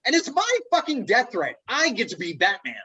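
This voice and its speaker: A man's voice: extremely high pitch, huge pitch swings, a little fast, pushed and bright, muffled recording, exaggerated voice. A man playing an anxious, sceptical office worker in a comedy table read over a video call, sputtering with exasperation. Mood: exasperated.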